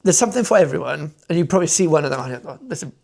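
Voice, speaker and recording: A young Welsh man talks in an eager, rambling way, doubling back and stacking up fillers as he goes. His voice sits a little high for a man and carries a gravelly, fry-laden texture. He sounds like an interview guest sharing things he cares about. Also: animated, rapid-fire, darkish tone. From Wales. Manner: rambling and enthusiastic, many fillers and restarts. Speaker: podcast guest, motoring content creator. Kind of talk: casual interview chat.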